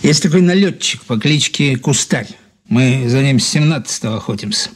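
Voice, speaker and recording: Actor as husky, laconic male police detective. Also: mid-range voice, expressive, moderate pace, natural voice. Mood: dry, amused. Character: male police detective, laconic, good-humoured, hunting raiders. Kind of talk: Soviet-era radio drama dialogue.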